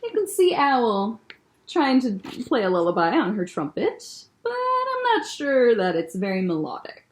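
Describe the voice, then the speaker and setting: A woman librarian reading a picture book aloud to children, gently commenting on the pictures. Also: fairly high pitch, wildly swooping pitch, unhurried. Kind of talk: children's story time read-aloud.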